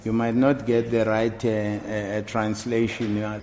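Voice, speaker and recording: A man recalling his past in a relaxed, hesitant way, searching for words. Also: lowish voice, measured pace, faint music behind. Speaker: interviewee. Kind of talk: casual recollection.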